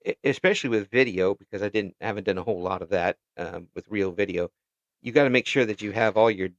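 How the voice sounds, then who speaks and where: Man, casual interviewer, halting delivery, chuckly, dry room, slightly muffled audio. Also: lowish voice, no room sound.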